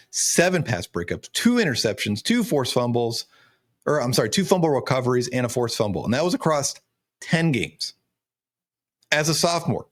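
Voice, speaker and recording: A man's voice: fairly high pitch, fairly bright tone. Male sports analyst, 30s, emphatic commentary delivery, voice strained and cracking from losing it. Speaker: sports show host and recruiting analyst. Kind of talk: college football recruiting commentary.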